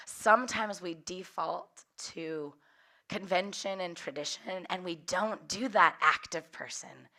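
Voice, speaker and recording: A young woman from California's Central Valley, hoarse and a little cracky from recovering a lost voice, leading a workshop with open storytelling and frequent laughter. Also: on the low side, sharp tone. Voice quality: slightly cracky voice. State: hoarse, recovering from losing her voice. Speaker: workshop leader. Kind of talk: personal storytelling talk.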